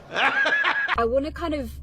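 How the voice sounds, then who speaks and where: A woman's voice: high-pitched, slow pace, very noisy. A thirty-year-old woman with a British accent talking casually and confessionally, as in a personal social media vlog.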